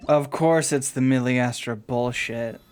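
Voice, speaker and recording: A man's voice: mid-range voice, unhurried, darkish tone, clean tone. A man livestreaming a game with casual, offhand commentary, slangy and given to swearing. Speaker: game streamer. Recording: game music behind the voice. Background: game music.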